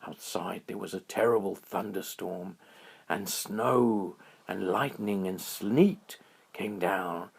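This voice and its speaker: A man narrating a children's bedtime story in a dramatic, drawn-out storytelling manner. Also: low-pitched, vocal fry, slight background noise.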